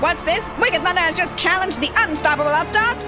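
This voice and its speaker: A woman voicing an excitable, bombastic arena announcer in video game dialogue, with dramatic, exclamatory showmanship. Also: very high voice, fairly quick, music in the background, pitched above the performer's own voice. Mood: theatrical.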